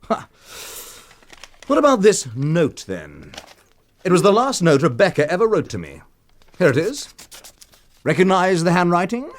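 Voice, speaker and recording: A swaggering, sneering drunken cad. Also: male voice, sits high, wildly swooping pitch, a little slow, long pauses, exaggerated voice. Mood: sly, wheedling. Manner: taunting, familiar, theatrically insinuating. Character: sneering drunken blackmailing cad, man, cocky and insinuating. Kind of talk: radio drama acting.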